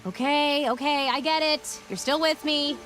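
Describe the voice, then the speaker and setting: A woman playing a plucky, earnest young heroine with dry wit in an animated game. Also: sits high, moderate pace, faint music behind. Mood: wry, friendly.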